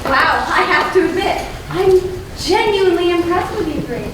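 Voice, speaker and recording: A woman's voice: very high-pitched, expressive, moderate pace. A teenage girl in a school play acting as a bossy, exasperated crime gang boss. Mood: commanding, exasperated. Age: teens. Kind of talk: student stage acting in a one-act comedy. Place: school stage, recorded from the audience. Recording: distant, noisy, very echoey stage recording.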